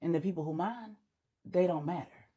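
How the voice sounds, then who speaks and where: A woman voicing a composed, self-assured young girlfriend, calm and direct, in an audio story. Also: fairly low pitch, normal speed, slightly rough, dull audio, pitched below the performer's own voice. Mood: calm assurance.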